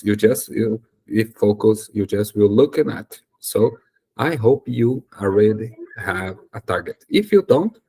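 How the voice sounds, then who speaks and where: A man's voice: fairly low pitch, warm tone, rough recording. A young Brazilian man whose first language is Portuguese, speaking English with a Brazilian accent in a casual talk to camera, hesitant with many fillers and self-corrections. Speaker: YouTuber and English learner.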